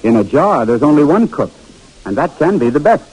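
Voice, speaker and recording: An actor as a determined young inventor. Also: male voice, a little high, very animated, fairly quick, darkish tone, breathy edge, low-quality recording. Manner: earnest, persuasive, slightly stammering. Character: determined young male inventor narrating his tale. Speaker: narrator and lead character. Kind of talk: 1940s radio drama acting. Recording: old radio broadcast with music.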